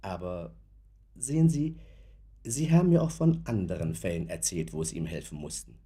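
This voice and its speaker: A measured lawyer in a radio drama. His deep, mellow voice is husky, and he is courteous yet sceptical, probing with deliberate care. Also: animated. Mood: grave, probing. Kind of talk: German radio play acting.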